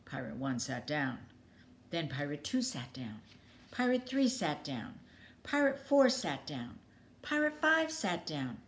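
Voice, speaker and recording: Woman, children's story reading, unhurried, expressive, dramatic pauses, lively intonation. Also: low-pitched. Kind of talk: children's story read aloud.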